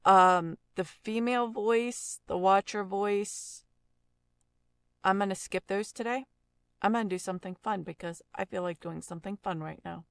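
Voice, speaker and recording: A woman giving a casual audio software tutorial, explaining informally and thinking aloud as she works. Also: mid-range voice, moderate pace, vocal fry, clean tone, no room sound, clean studio sound.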